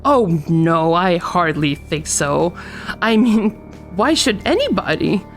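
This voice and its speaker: A woman's voice: fairly low pitch, sing-song, takes it slowly, soft background music, heavily acted. A woman playing a nervous, demanding invalid wife in a radio drama, fretful, imperious and full of complaint. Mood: anxious, plaintive. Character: nervous, demanding, bedridden invalid wife, querulous and self-pitying.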